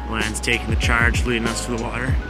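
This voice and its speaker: A young man keeps up relaxed, offhand commentary as he films a road trip. He talks into a handheld camera mic in cars and on streets along the coast, with music playing loud underneath. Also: mid-range voice, fast. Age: young adult. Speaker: vlogger filming a road trip. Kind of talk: casual travel vlog narration. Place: outdoors, in cars and rentals along a coastal highway.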